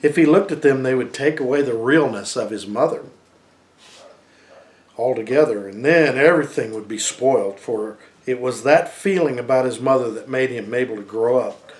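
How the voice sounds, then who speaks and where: A man, middle-aged, reading a storybook aloud expressively, with deliberate dramatic pauses. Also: mid-range voice.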